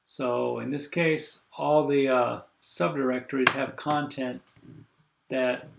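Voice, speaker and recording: An older man lecturing a university class over a video call, congested, halting and full of fillers as he thinks aloud. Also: medium pitch, steady tone, vocal fry, echoey room, noisy background.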